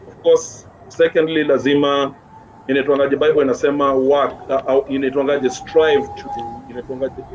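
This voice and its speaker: A man's voice: mid-range voice, level tone, normal speed. A Kenyan man speaking as a music guest in a casual interview, encouraging, repeating phrases and mixing English with Swahili slang. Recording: muffled recording with clear background noise.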